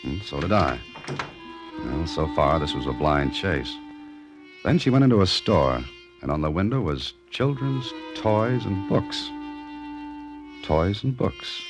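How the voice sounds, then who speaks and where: Male sleuth, 1940s radio mystery. Low register, expressive swings, wry understatement and cool nerve. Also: measured pace, long pauses, heavily acted. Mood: tense narrating. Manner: dry, understated, teasing. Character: cool, wry novelist turned amateur sleuth, a man. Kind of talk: 1940s radio drama acting and first-person narration. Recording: vintage radio recording with music.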